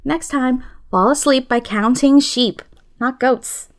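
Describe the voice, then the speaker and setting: A woman hosting a podcast, reading scripted narration in a measured, articulate way. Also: high-pitched. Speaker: podcast host.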